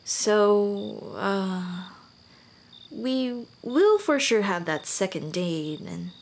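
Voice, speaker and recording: A woman voicing a shy, teasing female dullahan on a date in an ASMR romance roleplay, speaking softly and hesitantly, often near a whisper. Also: medium pitch, very animated, very slow, full character voice. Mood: nervous, grateful. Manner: soft, hesitant, intimate, often dropping to a whisper.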